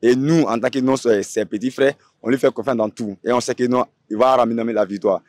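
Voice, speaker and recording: A man's voice: medium pitch, steady tone, a little fast, a little mellow, no echo, clean studio sound. A man with an Ivorian accent, a student supporter answering casually in a confident, emphatic way. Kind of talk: casual street-interview answer.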